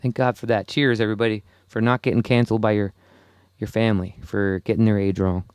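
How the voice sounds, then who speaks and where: A man's voice: on the low side, level tone, fast talker, a little mellow, clean tone, no room sound, studio quality. A man in his forties hosting a solo podcast, rambling casually and chuckling to himself between thoughts. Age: 40s. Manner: rambling, self-amused, chuckles often. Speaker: podcast host.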